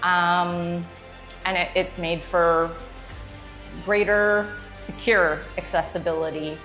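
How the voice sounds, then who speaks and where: Woman with a lowish voice. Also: flat delivery, takes it slowly, a bit bright. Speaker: customer giving a testimonial, strata council member. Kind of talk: casual interview testimonial. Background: background music.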